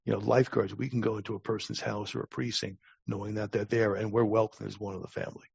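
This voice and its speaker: A man in his sixties with a gravelly voice, telling stories casually as a podcast guest in a halting, wry way with repeated words. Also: lowish voice, little pitch movement, a little mellow, no room sound. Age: 60s. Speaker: podcast guest, longtime lifeguard. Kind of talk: casual interview storytelling. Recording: dull, lo-fi close recording.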